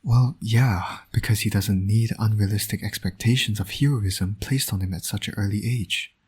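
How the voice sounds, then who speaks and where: A man playing a flirtatious, teasing supervillain and doting father in an intimate audio roleplay, with a husky, breathy voice. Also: fairly low pitch, moderate pace, a little mellow. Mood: teasing persuasion.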